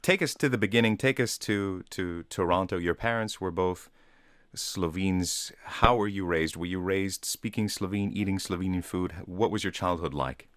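A man hosting a radio interview, reading an introduction and asking measured questions. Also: low voice, fairly bright tone, dry recording, studio quality. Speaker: radio host.

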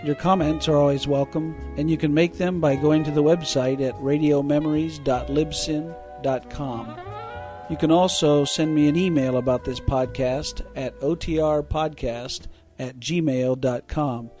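A man's voice: a little high, steady tone, a little slow, mellow, faint music behind. A man hosting a podcast and interviewing in a conversational style. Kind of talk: conversational radio-history interview.

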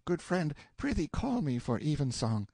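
Man voicing a high-pitched, exasperated young page boy. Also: very animated, moderate pace, gravelly, darkish tone, breathy edge, no room sound, clean studio sound, heavily acted. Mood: weary exasperation. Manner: sighing, theatrical complaining. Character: mocking, weary young page boy, archaic speech. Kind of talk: audiobook character voice.

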